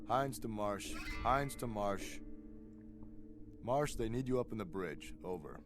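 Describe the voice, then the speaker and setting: An actor playing an irritable, sarcastic crooked cop in a video game, exasperated, wisecracking and bossy. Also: male voice, lowish voice, lively intonation, slow, over music. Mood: brisk, bossy.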